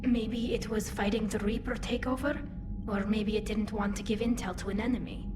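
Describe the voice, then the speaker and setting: A woman's voice: medium pitch, fairly even intonation, moderate pace, loud music behind the voice, close to an everyday voice. An actress voicing an earnest, hesitant young alien woman, a self-doubting admiral in a video game cutscene. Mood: matter-of-fact, regretful. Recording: roomy echo with clear background noise.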